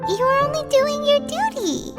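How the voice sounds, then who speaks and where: Brief: a pint-sized cheerful game mascot creature, extremely high and sing-song over prominent music. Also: female voice, normal speed. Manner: bright, lively, encouraging. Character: small floating female companion creature, chirpy. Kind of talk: video game voice acting.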